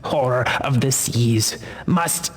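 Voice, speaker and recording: Slow, husky dying forest creature. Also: male voice, medium pitch. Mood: urgent secretive. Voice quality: weak, breathy, husky. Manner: halting, archaic broken grammar. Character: dying forest creature, pleading, loyal, broken folk speech. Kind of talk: video game character acting. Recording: long cavernous reverb.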